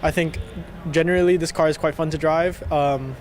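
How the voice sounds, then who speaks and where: A man's voice: on the high side, fairly even intonation, moderate pace, darkish tone, slight background noise. A young man from Singapore, a racing driver answering interview questions casually and a little hesitantly. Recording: clear crowd chatter behind, outdoors.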